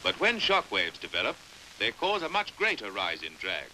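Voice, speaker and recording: Bright, forceful British film narrator, male. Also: on the low side, huge pitch swings, moderate pace, low-quality recording. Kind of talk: scripted narration, 1950s educational film. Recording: hissy old 1950s film soundtrack.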